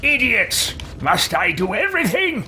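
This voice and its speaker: A woman's voice: fairly high pitch, sing-song, measured pace, loud music behind the voice. An actress playing an angry, imperious female commander in a fantasy video game, berating underlings in a commanding, scornful way. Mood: furious, scornful.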